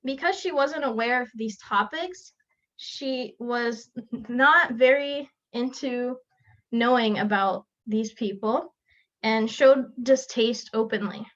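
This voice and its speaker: A young woman from South Florida tells her own story as a student speaker. Her voice sits a little high, and she speaks earnestly, pausing and restarting now and then. The sound is dull and lo-fi, as from a video call. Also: a bit bright. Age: young adult. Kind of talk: personal narrative speech. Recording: lo-fi online call audio.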